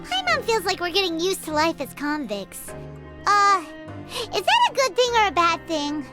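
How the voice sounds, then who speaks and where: An extremely high, childlike fairy girl chatters like a game companion. The squeaky voice is animated and excitable, bouncing widely up and down, with music playing clearly behind it. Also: normal speed. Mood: chatty. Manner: speaks of herself in the third person, animated. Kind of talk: video game voice acting.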